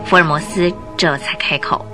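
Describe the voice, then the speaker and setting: A woman's voice: low voice, normal speed. A woman narrating a radio drama as a scripted, dramatic storyteller. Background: music.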